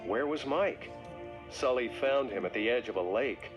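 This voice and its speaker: A man narrating a scripted read-along story for children in a dramatic, expressive way. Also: mid-range voice, normal speed, lo-fi sound. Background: music.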